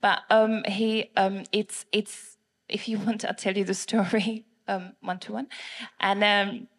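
A woman's voice: mid-range voice, level tone, a little slow, a bit bright. A woman from the Czech Republic with a Czech accent, nervously giving a testimony in church on a handheld mic, hesitant and giggly with many fillers. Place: church service on stage. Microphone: handheld microphone.

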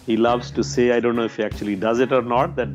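An older man from Pakistan, the host's father, chatting unhurriedly and teasing gently over a Skype call. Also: medium pitch, level tone, fast, dull audio, soft background music. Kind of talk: casual chat over a call.

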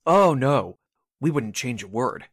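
Medium-pitched, affable radio station man acted. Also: expressive, a little slow, fairly bright tone. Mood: explanatory. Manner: explanatory, businesslike. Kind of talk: radio drama acting.

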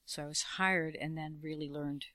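A woman interview guest talking casually about her film work, relaxed and wry, her phrases trailing off. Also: deep voice, moderate pace, no room sound, clean studio sound.

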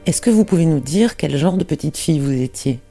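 Interviewer, gravelly, mellow tone, measured questions, faint music underneath. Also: mid-range voice, expressive.

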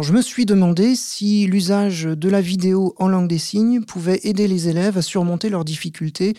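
Mellow-voiced man explaining carefully. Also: sits high, no room sound, studio quality. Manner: measured, explains step by step with frequent "euh" pauses. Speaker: maths teacher, podcast guest. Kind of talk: explanatory interview answers.

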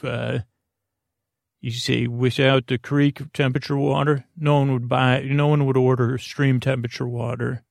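A man with a creaky voice hosting a bedtime podcast, talking in a meandering, halting, digressive monologue. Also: mid-range voice, no room sound, clean studio sound. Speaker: podcast host.

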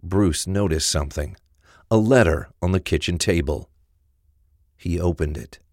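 A male narrator tells a scripted crime story. His voice is very low, and the recording is close and free of echo. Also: measured pace, a little mellow.

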